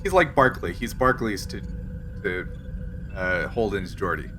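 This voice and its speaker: A man improvising narration as game master of a casual tabletop role-playing game, pausing to find his words. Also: lowish voice, lively intonation. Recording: faint music underneath.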